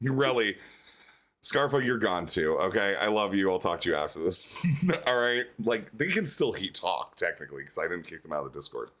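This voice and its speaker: A man's voice: low-pitched, very animated, moderate pace, a bit bright. A man hosting a casual online dating show, rambling loosely with lots of fillers. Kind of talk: casual stream chat.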